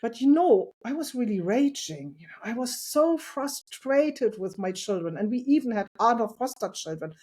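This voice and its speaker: A woman talking as a podcast guest about parenting, earnest and reflective, with a loud voice and light laughs. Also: fairly high pitch, lively intonation, normal speed, few pauses. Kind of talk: casual interview conversation.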